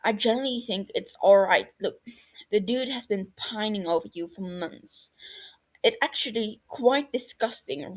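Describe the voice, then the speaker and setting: A woman's voice: mid-range voice, slightly rough, rough recording. Woman voicing a blunt, crude young man. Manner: laid-back, swears casually, calls friend mate.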